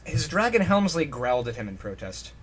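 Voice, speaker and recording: A man's voice: a little high, very animated, a little fast. A man doing an informal, joking dramatic reading of fan fiction, stumbling over sentences and ad-libbing asides. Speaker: reader on a comedy reading show.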